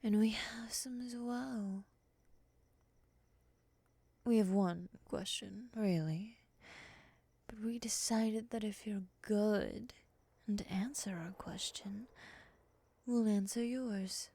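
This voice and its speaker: Woman playing a slow, whispery, possessive moth woman. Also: mid-range voice, slightly rough, dry recording. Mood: coolly polite. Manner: hushed, slow, formal and condescending. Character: soft-spoken, menacing, possessive female moth-human monster. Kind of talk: ASMR roleplay.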